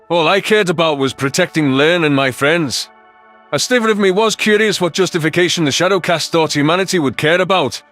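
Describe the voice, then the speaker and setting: Male audiobook narrator for sci-fi fiction, fairly high voice, music underneath. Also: a bit bright. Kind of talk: scripted sci-fi story narration in first person.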